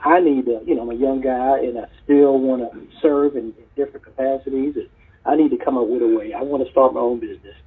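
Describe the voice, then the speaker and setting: A middle-aged man from South Carolina recounts his path in an earnest, rambling style, full of fillers and restarts. His voice has a husky edge. It comes through a tinny phone-line connection. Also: medium pitch. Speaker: guest, retired police chief and leadership coach. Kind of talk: casual podcast interview.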